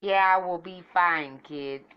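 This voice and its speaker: A defiant female vigilante played by a woman with a fairly low voice. She is guarded, serious and firm, a homemade superhero-film heroine heard over a music track. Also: takes it slowly, clean tone, lo-fi sound. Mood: subdued. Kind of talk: amateur superhero film acting.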